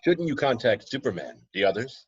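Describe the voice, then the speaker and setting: Guy doing loyal butler who questions his boss, raspy, kinda dull dry home audio. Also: mid-range voice, very animated, a little fast, dry recording. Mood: questioning. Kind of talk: script table read acting.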